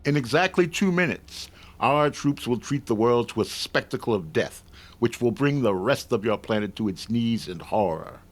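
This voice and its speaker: An actor playing a suave, coldly menacing Martian invasion leader, formal and deliberate, in 1950s radio drama style. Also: male voice, mid-range voice, expressive, lightly acted. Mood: cold menace. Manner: measured, smug, formal, deliberate.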